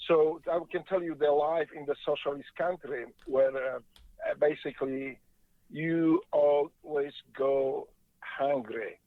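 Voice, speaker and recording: A man from Poland with a Polish accent, a guest telling his story in halting, earnest speech over the phone from a moving car. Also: fairly high pitch, dry recording. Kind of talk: phone interview. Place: car on the freeway. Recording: tinny phone line, dry.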